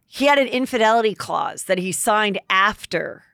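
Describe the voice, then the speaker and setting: A middle-aged woman hosting a podcast, chatting casually about celebrity gossip with quick asides and plenty of fillers. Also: medium pitch, expressive, gravelly, a bit bright, dry recording, clean studio sound. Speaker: podcast host.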